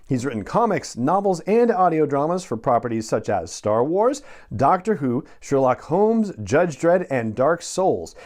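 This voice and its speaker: A man's voice: mid-range voice, a little mellow. Podcast host, male, middle-aged, mid-range voice, presenting and interviewing in a friendly style. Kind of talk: scripted introduction and friendly interview chat.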